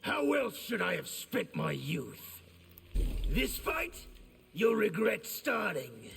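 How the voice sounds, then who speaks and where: An actor voicing a proud, boastful soldier warlord with a gravelly voice and bombastic military manner in a video game. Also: male voice, high voice, very animated, slow pace, a bit bright, heavily acted. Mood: boastful, menacing. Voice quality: heavily gravelly. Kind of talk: video game fight intro acting. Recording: reverberant hall, faint background noise.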